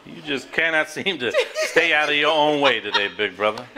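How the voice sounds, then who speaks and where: Earnest brother for theatre drama, played by a man; deliberate projected delivery, very animated intonation. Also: on the high side, a little hiss or hum. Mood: teasing. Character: earnest adult brother reading an old journal. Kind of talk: live stage play acting.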